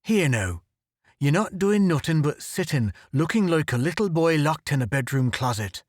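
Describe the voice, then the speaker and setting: A burly, swaggering Irish adventurer, as a male storyteller puts him on, who jokes in the face of danger. His Irish lilt stays bluff and teasing, with lively rises and falls. The sound is dry and close. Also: fairly high pitch, moderate pace, no echo. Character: big burly brash Irish adventurer, man.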